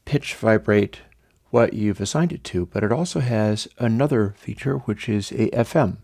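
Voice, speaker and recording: A hoarse man casually walking through an audio plugin demo, talking in a relaxed way with many fillers and pauses. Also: lowish voice, no room sound, studio quality. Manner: relaxed, hesitant, with frequent fillers. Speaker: plugin developer demonstrating audio software. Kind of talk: casual tutorial walkthrough.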